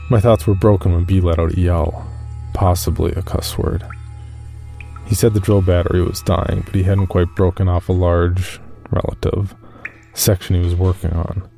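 A man playing an uneasy cave explorer, recounting his expedition in measured first-person horror narration. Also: low voice, creaky, mellow. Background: music.

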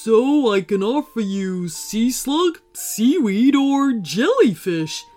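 A woman voicing a friendly, earnest male sea monster from a children's storybook, with drawn-out words. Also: fairly high pitch, big pitch swings, dark tone, faint music behind. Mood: eager, cheerful. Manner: slow, drawn-out, earnest delivery. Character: friendly male sea monster, eager dreamer, easily disappointed.

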